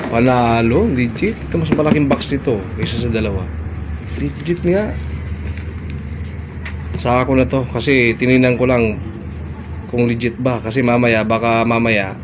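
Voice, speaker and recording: A man's voice: mid-range voice, normal speed, long pauses, background noise. A middle-aged Filipino man chatting casually through an unboxing vlog, repeating short phrases. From Philippines. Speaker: barber vlogger.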